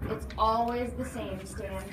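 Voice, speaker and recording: A woman's voice: a little high, steady tone, measured pace, natural voice. A woman voicing a world-weary, protective fairground man who speaks earnestly and placatingly. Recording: noisy echoey room, distant mic.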